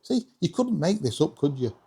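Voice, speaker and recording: A British man with a husky voice giving a casual, chatty hobby review with self-deprecating humour. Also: fairly high pitch, very animated, normal speed, background noise. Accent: British English. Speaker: video creator reviewing radios.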